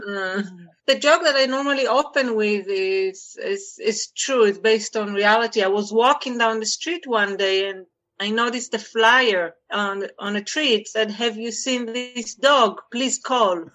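A woman's voice: on the high side, unhurried, low-quality recording. A woman from Israel with an Israeli accent, a stand-up comedian chatting in an interview, laughing often and joking about herself. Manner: laughs often, tells jokes in a relaxed, self-mocking way. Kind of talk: casual interview chat.

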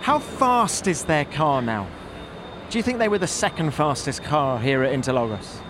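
Male sports podcast host, higher register, interviewing outdoors with noticeable traffic-like noise behind. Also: animated, normal speed. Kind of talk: motor racing review chat and interviews. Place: outdoors in a race paddock. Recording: clear outdoor background noise. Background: outdoor urban and vehicle noise.

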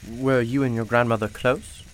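A performer in a radio play playing a courteous, gently probing keeper of a mysterious magical shop. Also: medium pitch, steady tone, normal speed, slight background noise, lightly acted. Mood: sympathetic, curious. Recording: music playing behind the voice. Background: music.

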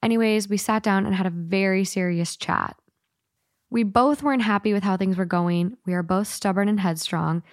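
A woman's voice: medium pitch, fairly even intonation, moderate pace, no room sound, studio quality. A woman co-hosting a casual podcast and reading out listener letters in an upbeat, matter-of-fact way. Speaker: podcast co-host.

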